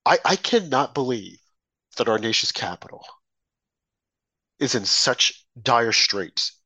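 A man co-hosting a political talk show, hoarse from a mild cold, telling rambling, animated stories. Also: mid-range voice, slow, no room sound. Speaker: podcast co-host.

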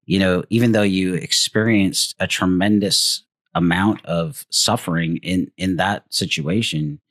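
A man's voice: sits low, measured pace, fairly bright tone, dry recording. A man hosting a podcast interview, talking casually with many fillers and restarts. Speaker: podcast host.

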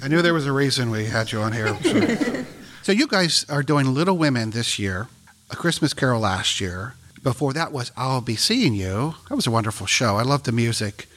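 A man hosting an interview, asking quick, jokey questions. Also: medium pitch, very animated, a bit bright. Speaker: interview host. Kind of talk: radio interview.

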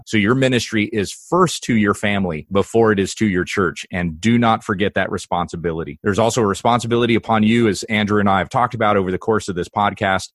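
A pastor appears as a podcast guest and speaks earnestly, with an exhorting tone. His voice sits somewhat low. The sound is dry and close. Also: fairly bright tone, no echo. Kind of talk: casual podcast interview.

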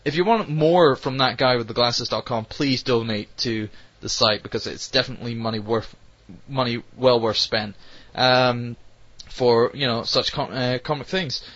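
A British man hosting a casual interview, speaking in a rambling, halting way. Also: medium pitch, fairly bright tone, no echo. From United Kingdom. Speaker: podcast host. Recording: dull lo-fi audio.

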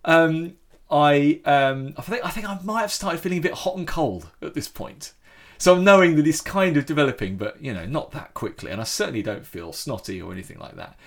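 A man tells a story in relaxed conversation. His pitch swings widely as he talks, and he often stops and restarts. The delivery is lively and expressive. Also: brisk, vocal fry. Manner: animated storytelling with frequent restarts. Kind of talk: casual chat.

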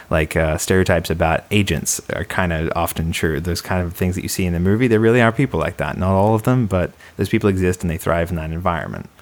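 Brief: young Australian man, very deep voice, rapid wry podcast banter. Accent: mild Australian, partly American. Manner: fast, chatty, wry. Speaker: podcast co-host. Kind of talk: casual podcast chat.

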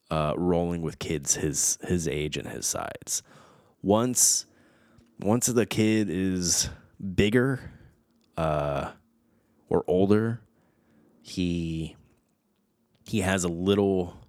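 Very deep-voiced, slow-talking man. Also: darkish tone, no echo, studio quality. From United States. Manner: rambling, reflective, many fillers and restarts. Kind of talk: casual chat.

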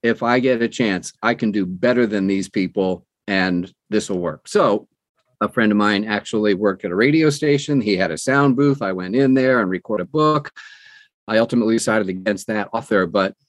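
A man in his sixties chatting as an interview guest, telling personal stories in an animated way and acting out quoted dialogue. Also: on the low side, normal speed, no echo. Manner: animated storyteller who acts out quoted dialogue. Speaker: guest, audiobook narrator. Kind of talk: conversational personal storytelling.

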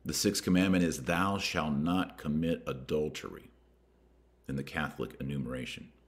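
Deep-voiced American man, emphatic podcast commentator. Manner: emphatic, deliberate, stressing key words and names. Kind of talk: podcast commentary, reading quotations aloud and commenting on them.

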